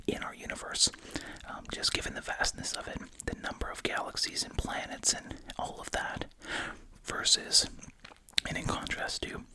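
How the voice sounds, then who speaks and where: An ASMR host speaking entirely in a soft, breathy whisper, musing casually while chewing gum. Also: low-quality recording.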